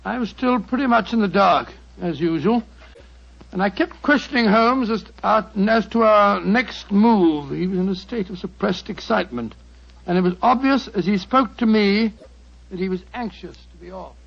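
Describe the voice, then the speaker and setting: An actor playing a loyal, puzzled gentleman doctor with a British accent and a fussy, hesitant manner in a 1940s radio drama. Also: high voice, moderate pace, gravelly. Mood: reminiscing. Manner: bumbling, flustered, hesitant. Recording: dull, muffled vintage radio recording.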